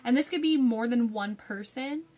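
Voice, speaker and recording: A young woman giving a casual tarot forecast, a little raspy from a cold, chatty with frequent ums and likes. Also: fairly high pitch, normal speed, a little mellow. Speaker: tarot reader.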